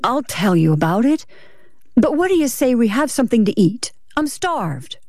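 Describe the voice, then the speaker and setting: A woman's voice: a little high, sing-song, normal speed, darkish tone, dry recording, clean studio sound, voice pushed up. Casting: woman playing a nervous husband in an audiobook, guilty and placating, choosing words carefully and faltering. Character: nervous, evasive husband lying to his suspicious wife.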